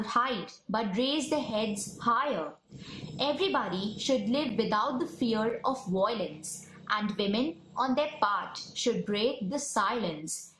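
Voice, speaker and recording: Young Indian woman reciting, slow and roomy. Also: on the high side, a bit bright. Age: young adult. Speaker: poem presenter. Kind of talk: recited poem. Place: small room at home. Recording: roomy home recording with noise.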